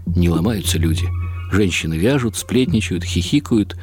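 An actor playing a wry, reflective elderly husband who narrates in the first person in short clipped phrases for a radio drama. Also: male voice, deep voice, animated, normal speed, darkish tone, soft background music.

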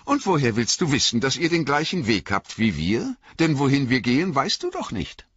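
Man voicing playful teasing elves, high light voice, very lively, husky, muffled lo-fi sound. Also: a little slow, no room sound, exaggerated voice.

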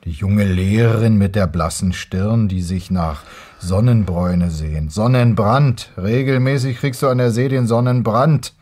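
Young scheming husband, radio drama, fairly low pitch, grumbling, colloquial, sardonic. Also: male voice, a little slow, hardly any pauses, a little mellow. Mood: sarcastic. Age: young adult. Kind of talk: naturalistic German radio drama dialogue.

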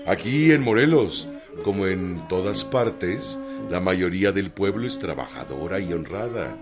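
A humane army general in a radio drama, with a Mexican accent. His lowish voice is grave and formal, set over clearly audible music. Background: prominent background music.

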